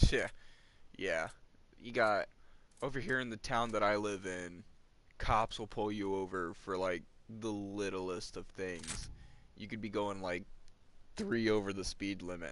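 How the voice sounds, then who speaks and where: Lower-voiced young man ranting. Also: fairly bright tone. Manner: ranting, complaining, chuckles between remarks. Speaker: gamer. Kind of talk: casual rambling chat over gameplay.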